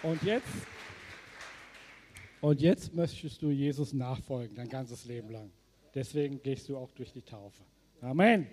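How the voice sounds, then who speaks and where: A male pastor interviewing people at a baptism service, enthusiastic and quick to say wow and amen. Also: mid-range voice, a little mellow. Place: church service. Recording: long church reverb.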